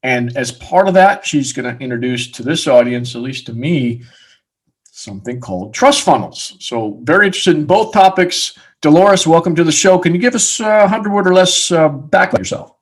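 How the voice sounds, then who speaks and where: A man's voice: a little high, animated, normal speed. A man hosting a podcast interview, asking long questions that ramble and restart. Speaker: podcast host. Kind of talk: casual interview.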